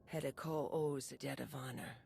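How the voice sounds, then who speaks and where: Casting: grim, honour-bound female sci-fi hunter, gravelly voice, solemn formal delivery. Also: a bass voice, steady tone, fairly quick, darkish tone, a little hiss or hum, heavily acted. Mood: solemn, determined. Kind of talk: video game cutscene acting.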